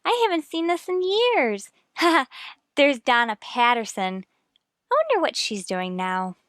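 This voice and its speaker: A woman playing a sprightly, warm-hearted amateur-sleuth wife who teases her husband in a radio mystery drama. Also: high-pitched, animated, unhurried, no echo, studio quality. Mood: amused, nostalgic.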